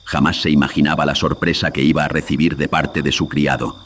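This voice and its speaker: An extremely low-voiced man narrates a horror radio drama. His delivery is flat and even, the voice filter-processed, with faint noise behind it. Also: brisk.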